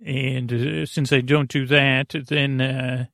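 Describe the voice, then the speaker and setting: A middle-aged American man with a creaky voice hosts a bedtime podcast in a meandering, halting, soothing monologue, easily sidetracked. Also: medium pitch, level tone, a bit bright, no room sound, studio quality.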